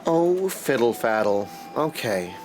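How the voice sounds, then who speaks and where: A man's voice: medium pitch, big pitch swings, slow. A man chatting casually and playfully on a game livestream, teasing himself with silly words. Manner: playful, self-teasing, goofy wordplay. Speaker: game streamer. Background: video game music.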